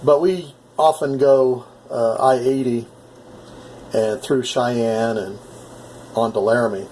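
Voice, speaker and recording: A middle-aged American man chatting casually as an amateur radio operator, explaining things in a measured, halting way. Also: mid-range voice, fairly even intonation, darkish tone, rough recording. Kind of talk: casual ham radio conversation.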